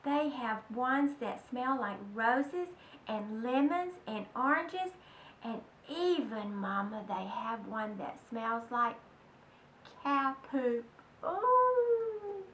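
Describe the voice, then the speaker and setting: Woman playing an eager, pleading little girl, high-pitched. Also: sing-song, very slow, fairly bright tone, full character voice. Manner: wheedling, insistent, emphatic. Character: eager, pleading young girl begging her mother. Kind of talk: children's storybook read-aloud. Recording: roomy, distant mic, faint noise.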